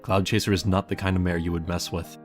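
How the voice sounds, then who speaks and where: A man's voice: low-pitched, flat delivery, fast. A man reading scripted fan fiction as the narrator. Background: music.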